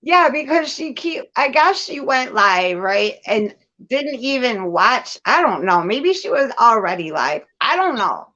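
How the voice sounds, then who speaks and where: A woman's voice: a little high, sing-song, unhurried, hardly any pauses, pushed and bright, muffled recording. A woman venting candidly and casually as host, blunt and profane, leaning hard on repeated phrases for emphasis. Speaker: host of a live commentary show.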